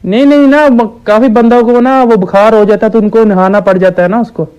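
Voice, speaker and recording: Man putting on a simple village shopkeeper, high clear voice, deadpan, hesitant, stubbornly naive, prank phone call. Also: lightly acted. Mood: naively stubborn. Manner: slow-witted, hesitant, naively persistent. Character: dim, stubborn village shopkeeper ordering absurd medicine quantities. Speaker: prank caller.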